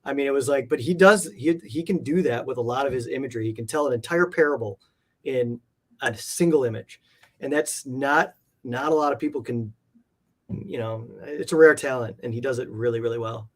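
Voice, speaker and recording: A man's voice: medium pitch, fairly quick. A man speaking as an interview guest, chatting casually in a rambling, reflective and enthusiastic way. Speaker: interview guest, art collector. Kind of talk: casual chat.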